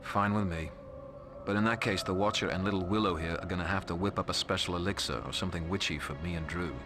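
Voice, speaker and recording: A man's voice: low-pitched, fairly even intonation, fast talker, over music, close to an everyday voice. An actor playing a sardonic, cocky male vampire with a British accent, speaking in a sneering, slangy, mocking way. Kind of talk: video game voice acting.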